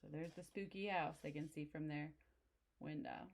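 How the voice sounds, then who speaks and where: A woman with a low voice reads a picture book to young children. Her narration is expressive and simple, broken by short explaining asides to the listeners. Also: normal speed, vocal fry, heavy background noise. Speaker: storybook reader.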